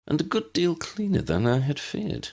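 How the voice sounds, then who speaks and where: A man voicing a formal, reassuring gentleman wizard in an audiobook, measured and well-spoken. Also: on the high side, huge pitch swings, creaky, pitched above the performer's own voice, heavily acted. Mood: relieved.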